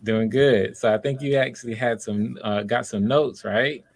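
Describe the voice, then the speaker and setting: A man's voice: medium pitch, fairly even intonation, normal speed, creaky. A man chatting casually and encouragingly as he hosts online auditions. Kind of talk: casual livestream chat.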